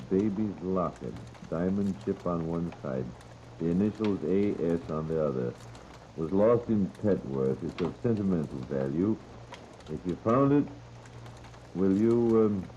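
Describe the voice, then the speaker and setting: An American man working as a morning radio announcer in an informal, folksy 1930s live style, chatty and unhurried, on an old muffled radio recording. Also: lowish voice, dark tone, slight background noise. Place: radio studio. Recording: muffled, band-limited 1930s radio disc.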